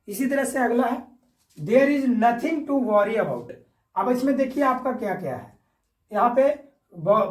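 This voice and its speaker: High-voiced male teacher in an echoey room. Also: darkish tone. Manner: instructional, repetitive, checks for understanding with tag questions. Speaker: English grammar teacher. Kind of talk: classroom-style grammar lesson, explaining step by step. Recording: echoey room, distant microphone.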